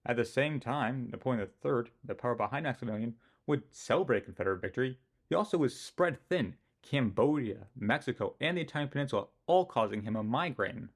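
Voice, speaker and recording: A man's voice: mid-range voice, lively intonation, fairly quick, few pauses. A man narrating a scripted weekly history series, giving his own opinions and joking about his pronunciation. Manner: opinionated, with self-mocking asides.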